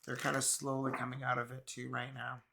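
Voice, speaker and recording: Raspy, hoarse man reading tarot. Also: medium pitch, monotone, a little fast, background noise. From Canada. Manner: chatty, musing, many fillers. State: hoarse, recovering from illness. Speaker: tarot reader. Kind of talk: casual tarot card reading.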